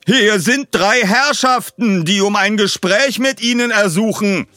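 A man voicing a stiffly formal butler who shouts his announcement in an audiobook story. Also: high voice, unhurried, dry recording, soft background music, pitched above the performer's own voice. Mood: bellowing. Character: bellowing butler announcing visitors very loudly.

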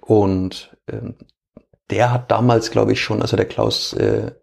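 A man's voice: lowish voice, steady tone, unhurried, vocal fry, a little mellow. A man with a Franconian accent, a stadium announcer answering interview questions in a rambling way with many fillers. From Franconia, Germany.

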